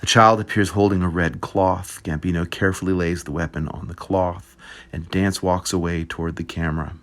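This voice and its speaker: A man reading an essay aloud at a group reading, measured and descriptive. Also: low-pitched, steady tone, a bit bright.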